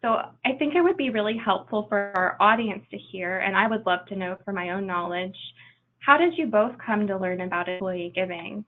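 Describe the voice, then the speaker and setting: A woman's voice: mid-range voice, normal speed. A woman moderating a webinar, thanking her guests often and posing questions in an appreciative manner. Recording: tinny phone-like line.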